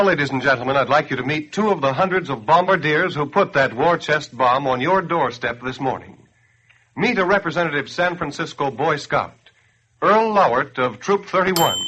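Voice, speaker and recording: Earnest 1940s American radio announcer, husky, higher-pitched man. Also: lively intonation, moderate pace, pushed and bright. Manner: earnest, declamatory, persuasive. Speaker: radio announcer and campaign spokesman. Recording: dull grainy 1940s radio broadcast.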